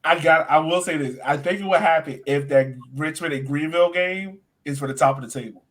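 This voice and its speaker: Male podcast host, fairly high-pitched, informal and slangy, talks straight to panel and audience. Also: brisk, a bit bright. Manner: informal, slangy, addresses co-hosts and viewers directly. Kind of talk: casual sports podcast hosting.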